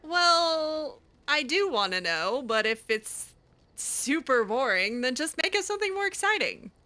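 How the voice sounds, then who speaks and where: A woman improvising in-character chat over a video game, casual and halting, with a teasing streak. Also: sits high, very animated, pushed and bright. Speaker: gaming channel host, roleplayer. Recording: music behind the voice. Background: game music.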